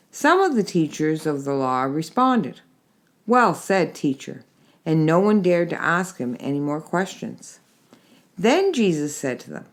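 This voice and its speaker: A woman reading a Bible chapter aloud in a measured, steady way with gentle emphasis. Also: deep voice. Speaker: scripture reader.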